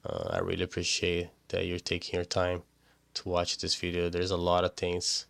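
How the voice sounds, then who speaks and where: Portuguese-native man, young, very low and monotone, halting vlog delivery with lots of "uh", dry close sound. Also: gravelly, no echo. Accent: Portuguese-speaking. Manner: halting, repeats words, many fillers. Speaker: pressure washing business owner. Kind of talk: casual vlog talk to camera.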